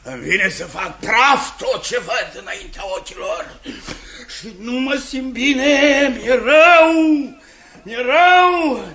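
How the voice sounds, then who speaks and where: An actor playing a boorish, hot-tempered landowner and creditor, blustering and grumbling in 1950s radio theatre style. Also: male voice, very high-pitched, animated, sharp tone, full character voice. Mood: grumbling, miserable. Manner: bellowing, blustering, grumbling to himself.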